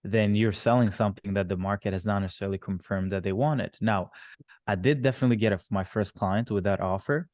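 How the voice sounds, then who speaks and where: Guy from rwanda who moved to canada, low voice, dry close sound, chatting about his own story as a guest. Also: fast, a little mellow, no echo. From born in Rwanda, moved to Canada at thirteen. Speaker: interview guest, entrepreneur. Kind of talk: casual interview, telling his own story.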